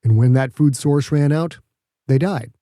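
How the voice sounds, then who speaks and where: A man narrates an audiobook story in a mellow, relaxed voice at a middle pitch. The sound is dry, and he delivers the prose as scripted fiction. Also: unhurried, dry recording.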